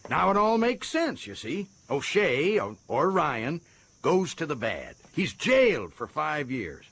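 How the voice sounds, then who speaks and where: An actor playing a folksy, self-important American prison governor in a radio drama, chatty and stammering with excitement. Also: male voice, fairly high pitch, a little slow, slightly rough, full character voice. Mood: excited, self-satisfied.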